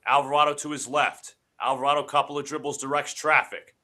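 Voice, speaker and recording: Bright-voiced congested male sports streamer. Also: medium pitch, fairly quick. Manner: excitable, shouts on big plays. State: congested from allergies. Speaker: livestream host doing play-by-play. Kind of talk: live watch-along play-by-play commentary.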